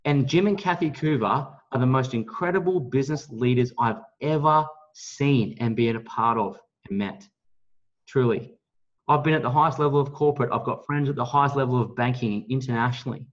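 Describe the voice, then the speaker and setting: A man's voice: mid-range voice, brisk. Blunt, emphatic man, dull audio. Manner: emphatic, blunt, salesy, mildly profane. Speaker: network marketing leader, guest speaker on a team call. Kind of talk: motivational business pitch.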